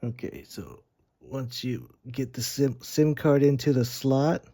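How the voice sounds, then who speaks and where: A man's voice: mid-range voice, expressive, slow pace, dark tone. A man giving a casual, hands-on gear demonstration, informal and a little hesitant as he thinks aloud. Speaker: gear reviewer. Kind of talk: casual unboxing and setup demonstration.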